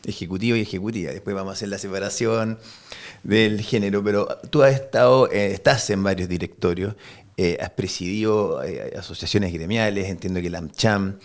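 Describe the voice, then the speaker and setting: A man's voice: low voice, fairly quick. A man hosting a podcast interview, chatty and enthusiastic, with frequent stammered restarts. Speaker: podcast host. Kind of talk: conversational interview.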